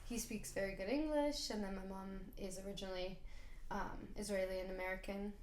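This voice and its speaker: A woman from Italy, a photographer speaking as a podcast guest, in a relaxed and thoughtful tone with easy fillers. Her voice is mid-pitched for a woman. The recording has a roomy echo and a faint hum of room noise underneath. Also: brisk. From Reggio Emilia, Italy. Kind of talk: casual interview chat.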